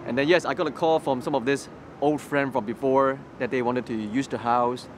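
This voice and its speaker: A man's voice: mid-range voice, steady tone, moderate pace. A young man from Hong Kong, a Cantonese native speaker, telling his story in an interview on a city street, with many restarts and repeated words. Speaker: interview guest. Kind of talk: personal testimony interview. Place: outdoors on a city street by a graffiti wall. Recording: street noise in the background. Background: city traffic noise.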